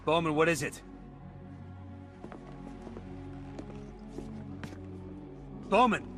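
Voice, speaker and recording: An actor playing a tough, alert special forces squad leader in a video game, terse, urgent and commanding. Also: male voice, a little high, animated, quick pace, shouting, soft background music, lightly acted. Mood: alarmed. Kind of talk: cinematic video game acting.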